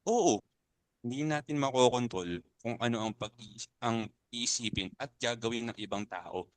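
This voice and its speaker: A man's voice: medium pitch, moderate pace, no echo, lightly acted. A man with a Filipino accent playing a principled, compassionate club uncle in a dramatic reading. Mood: earnest, firm. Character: principled older club uncle urging compassion over fear.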